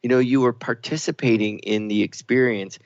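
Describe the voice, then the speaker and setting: A man's voice: mid-range voice, steady tone, moderate pace, no echo. A man co-hosting a reflective talk radio show, speaking in an affirming, expansive, emphatic way. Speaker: radio co-host. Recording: thin phone-like sound, very dry.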